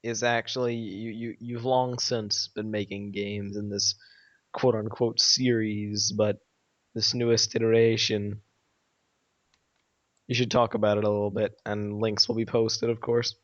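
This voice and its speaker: A man's voice: fairly low pitch, flat delivery, a little slow, dry recording, highs cut off. A man hosting a podcast in a casual chat style, steering the conversation between friends. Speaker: podcast host steering topics.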